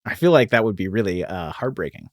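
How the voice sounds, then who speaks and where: A man's voice: on the low side, very animated, fast, dry recording, clean studio sound. A man co-hosting a podcast, talking casually and explaining things, sometimes reading aloud and correcting himself as he goes. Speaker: podcast co-host.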